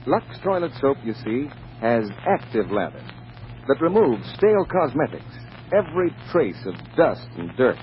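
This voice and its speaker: A man's voice: medium pitch, big pitch swings, moderate pace. A man announcing in polished, persuasive 1940s radio advertising style, as the show's sponsor voice. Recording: muffled, noisy vintage radio recording.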